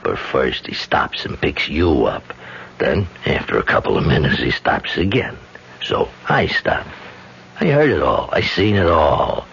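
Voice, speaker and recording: A hired thug who talks low and slow with a gritty, gravelly rasp. His voice sits at the very bottom of the range. The sound is dull and a little hissy, like a vintage radio broadcast. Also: wildly swooping pitch, fairly bright tone, exaggerated voice. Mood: menacing. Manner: slow, threatening, street-talking. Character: gruff male hired gangster, menacing and blunt. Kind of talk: 1970s radio drama acting.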